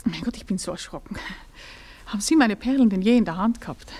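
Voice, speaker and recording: A woman's voice: medium pitch, animated, quick pace, dark tone, lightly acted. An actress playing a nervous aristocratic lady who speaks. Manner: nervous, flustered, counting under breath. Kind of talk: radio play acting.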